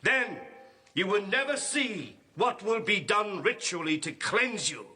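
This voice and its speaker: An actor playing a stern, blind old seer in an audio drama, speaking in a solemn, prophetic, incantatory manner. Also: male voice, high-pitched, expressive, measured pace, bright, forceful tone, slightly rough, exaggerated voice. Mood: stern rebuke.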